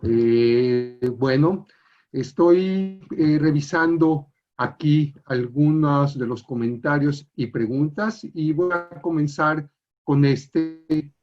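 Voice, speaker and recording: A man reading an introduction in a formal, measured way, then moderating audience questions in a video call with some hesitant fillers. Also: on the high side, lo-fi sound, soft background music. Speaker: webinar moderator.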